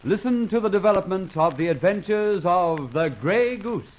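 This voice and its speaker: An actor as a dramatic announcer for an old-time radio adventure serial. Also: male voice, sits high, lively intonation, normal speed, dull audio, noisy background. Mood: dramatic, portentous. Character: dramatic serial announcer posing cliffhanger questions. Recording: old radio recording with faint music.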